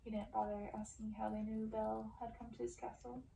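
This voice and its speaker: A woman's voice: on the high side, little pitch movement, fairly quick, creaky, dark tone. A woman reading a story aloud in an ASMR narration style. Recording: roomy, distant, faint background noise.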